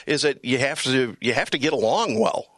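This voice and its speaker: A man's voice: mid-range voice, animated, brisk, a bit bright, dry recording. A man who works as a radio announcer, chatting casually and with good humor in a studio interview. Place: sports network studio.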